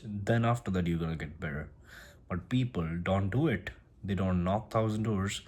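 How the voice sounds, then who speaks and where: Deep-voiced man, non-native English speaker. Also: a little mellow. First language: not English. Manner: rambling, repeats and restarts phrases. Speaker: self-improvement vlogger. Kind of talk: casual motivational monologue.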